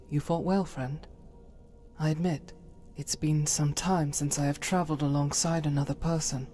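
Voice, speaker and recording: A woman's voice: sits low, mellow, natural voice. A woman playing a devout, resolute holy warrior in a fantasy game, speaking in formal, earnest phrases over music. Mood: calm, friendly. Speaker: companion character in a game mod. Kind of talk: fantasy game character acting.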